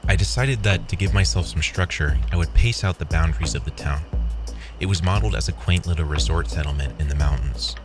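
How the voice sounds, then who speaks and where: Deep-voiced man narrating horror fiction over music. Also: brisk. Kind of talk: scripted first-person horror narration.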